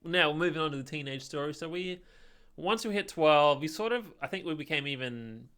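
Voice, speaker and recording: A young man co-hosting a podcast, chatting and telling stories informally, haltingly, often checking in with a questioning tag. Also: fairly high pitch, lively intonation, bright, forceful tone, smooth. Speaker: podcast co-host. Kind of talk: casual chat, storytelling.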